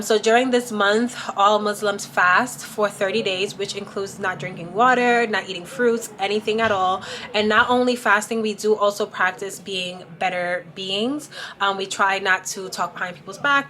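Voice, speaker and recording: A woman's voice: mid-range voice, moderate pace, bright, forceful tone. A young woman vlogging casually to camera, explaining things in a chatty way with plenty of "um" and "like". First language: not Arabic. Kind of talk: casual vlog talk to camera.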